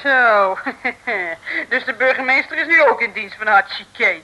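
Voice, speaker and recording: An actress playing a devoted, brisk, nagging female sidekick to a detective in an old radio comedy serial. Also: on the high side, animated, pushed and bright, low-quality recording. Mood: mocking. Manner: brisk, nagging, stammering urgency.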